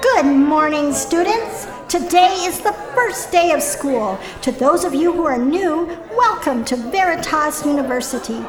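A woman's voice: high-pitched, expressive, unhurried, hardly any pauses. A woman playing a devoted, cheerful university dean in an audio drama, giving a formal, sugary, uplifting announcement. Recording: echoing announcement with crowd noise.

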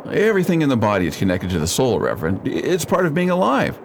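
Actor as a quick-talking, worldly churchgoing man. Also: medium pitch, wildly swooping pitch, gravelly. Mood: easygoing. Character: sociable, worldly married churchgoer, friendly and smooth-talking. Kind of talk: radio drama acting. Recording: noisy background.

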